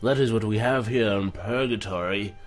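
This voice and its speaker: A man's voice: on the low side, normal speed, faint music behind. A man hosting a let's play, casually reading game text aloud with some stumbles and commenting on it. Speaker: gaming video host.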